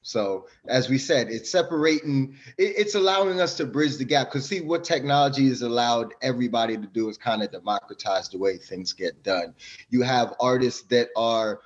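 A man's voice: medium pitch, moderate pace, dull audio. A Jamaican-born man talking casually as a podcast guest, explaining things at length in an easygoing way.

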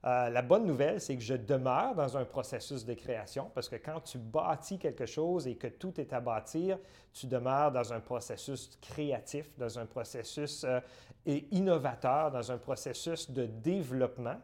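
A man's voice: medium pitch, animated, darkish tone. Middle-aged guy, talks in careful lists, lots of euh. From Northern Ontario, Canada. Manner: measured, list-like phrasing with frequent "euh". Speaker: guest, community centre director. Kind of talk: interview answers.

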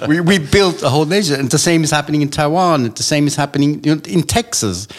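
A man's voice: a little high, fast. A man from Austria in a casual discussion, thinking aloud at length with frequent restarts.

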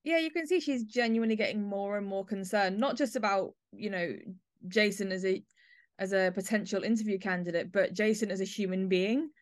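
A woman hosting a podcast, discussing drama in a measured, analytical way. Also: medium pitch, no room sound, studio quality. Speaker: podcast host. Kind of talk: discussing an audio play.

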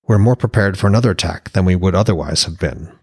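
A man's voice: low-pitched, quick pace, a little mellow, breathy edge. A man voicing a pragmatic Norse war-god councillor, analytical and matter-of-fact, in a fantasy audiobook.

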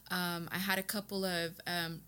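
A woman's voice: on the low side, flat delivery, normal speed, sharp tone, clear, resonant tone. An American woman from Texas talking casually and earnestly as an interview guest, telling her personal story in informal, emphatic language. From Texas, United States. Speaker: podcast guest, beauty business owner and educator.

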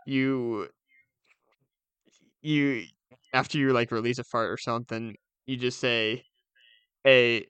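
A man co-hosting a podcast in casual, comedic chat, speaking in halting bursts with repeated phrases. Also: mid-range voice, steady tone, fairly bright tone, smooth, no echo. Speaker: podcast co-host.

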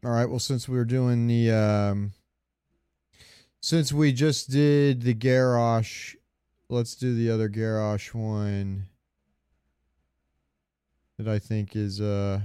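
A man talks as a podcast co-host in a casual, opinionated style. His voice is smooth and clear at a middling pitch, recorded very dry. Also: steady tone, slow pace, pauses often, dark tone, no room sound. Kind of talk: casual chat.

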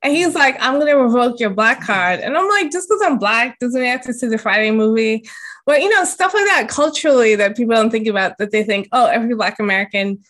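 Podcast host: woman in her thirties, a bit high, easy conversational tone. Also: lively intonation, fast, a bit bright. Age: 30s. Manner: conversational, agreeing, tells personal anecdotes. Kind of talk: casual interview conversation.

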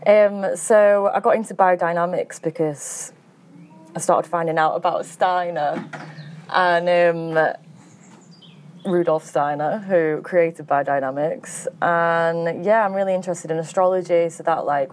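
A woman speaks in a lowish voice, chatty and easygoing. She fills her sentences with small fillers and laughs easily as she answers. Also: steady tone, unhurried, darkish tone, slight background noise. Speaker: farm grower. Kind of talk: casual interview answers.

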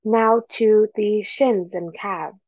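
Very slow-paced woman guiding meditation. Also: medium pitch, a little mellow, no room sound, muffled recording. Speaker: meditation guide. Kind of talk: guided meditation, slow instruction with pauses.

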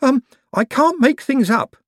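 Man reading meek jittery forger, high stammer, dry close sound. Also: lively intonation, unhurried, a bit bright, no echo, heavily acted. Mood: hesitant. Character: timid nervous male forger, high stammering.

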